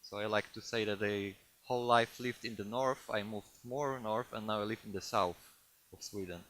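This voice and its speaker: A man with a Polish accent giving a technical talk to a live audience, halting often with fillers and restarts. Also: fairly low pitch, steady tone, fairly bright tone, a little hiss or hum. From Poland. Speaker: conference speaker.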